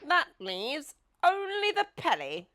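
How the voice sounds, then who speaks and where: Woman putting on a loud, pompous, bright-voiced Duke. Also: high voice, huge pitch swings, slow pace, pitched above the performer's own voice, exaggerated voice. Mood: grand, generous. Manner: pompous, declamatory. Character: grand, emphatic, know-it-all, generous old-fashioned male Duke.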